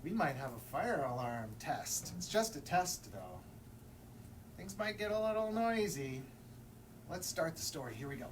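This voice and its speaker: A man's voice: on the high side, sing-song, a little slow. A man presenting a children's story time in a playful, theatrical way. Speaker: library story time host. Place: public library. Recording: distant mic, faint background noise.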